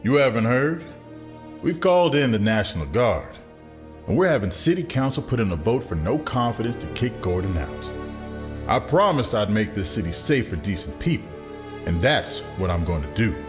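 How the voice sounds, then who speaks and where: Crusading district attorney, low animated voice, persuasive, hard-line, audio drama, music behind. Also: male voice, normal speed. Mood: determined.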